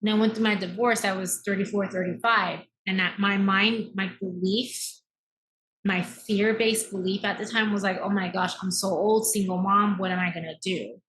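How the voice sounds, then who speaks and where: Woman late 30s on a podcast, bright forceful voice, earnest, telling her own life story. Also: mid-range voice, fairly even intonation, moderate pace, slight background noise, low-quality recording. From born in America, raised in Taiwan. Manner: earnest, emphatic, uses rhetorical tags like "right?". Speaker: podcast guest, mindset coach. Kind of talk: personal storytelling in an interview.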